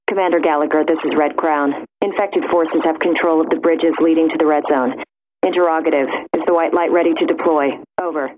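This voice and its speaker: Game military dispatcher, female, low-pitched, clipped and official, coming over a narrow phone-like radio. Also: fairly even intonation, fairly quick, background noise. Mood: composed, official. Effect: military radio filter. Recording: thin, phone-like radio transmission.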